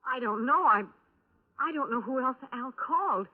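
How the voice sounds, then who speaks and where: Defensive, uneasy widow on tinny old radio. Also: female voice, high-pitched, lively intonation. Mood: anxious. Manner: halting, hesitant denials and admissions. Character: defensive, uneasy murdered man's young widow under questioning. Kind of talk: 1950s radio drama acting. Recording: tinny band-limited old radio recording.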